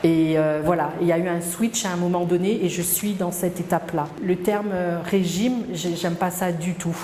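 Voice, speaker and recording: Middle-aged woman, fairly low mellow voice, hesitant and reflective, echoey room with a long reverb tail. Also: steady tone, moderate pace, a little hiss or hum. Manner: hesitant and reflective, many fillers and restarts. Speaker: interviewee. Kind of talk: personal testimony interview.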